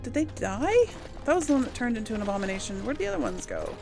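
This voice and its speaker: A woman playing a game and commenting casually, excitable, talking to herself and her characters, sometimes answering in character. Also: fairly high pitch, fast, over music. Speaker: gamer playing and role-playing for an audience.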